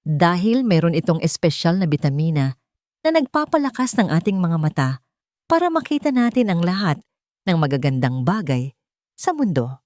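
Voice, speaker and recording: A woman voicing a gentle, respectful young housemaid in her twenties, calm but firm, in a dramatic story. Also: lowish voice, normal speed, dry recording, studio quality, lightly acted. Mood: playful, teaching.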